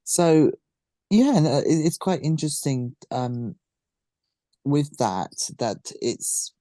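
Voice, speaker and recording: A man hosting a casual podcast interview, halting as he searches for his words. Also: on the high side, animated, dark tone, no echo. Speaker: podcast host.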